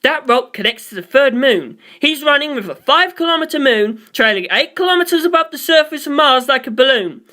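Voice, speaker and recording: A reader as a tense, bright-voiced mission control man. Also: high voice, lively intonation, fairly quick, few pauses, pitched above the performer's own voice. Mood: alarmed. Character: tense male mission control officer over speakers.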